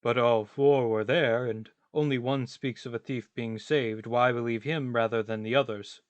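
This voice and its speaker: A man's voice: mid-range voice, fairly even intonation, normal speed, dry recording, lo-fi sound, close to an everyday voice. A man playing a brooding, pedantic tramp in a radio play, emphatic and ponderous, insisting on his points with some exasperation. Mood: exasperated.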